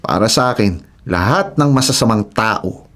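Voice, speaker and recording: Low-voiced, earnest husband. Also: male voice, lively intonation, moderate pace, fairly bright tone. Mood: indignant. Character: domestic, opinionated husband of a policewoman, hard on criminals. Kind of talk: radio drama acting.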